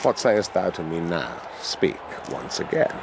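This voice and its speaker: A man's voice: deep voice, big pitch swings, a little slow, gravelly, heavily acted. A man playing a pompous, commanding Roman ruler in a Shakespeare radio drama. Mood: haughty, challenging. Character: imperious, self-important Roman ruler. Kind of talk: radio Shakespeare acting, declamatory. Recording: loud music behind.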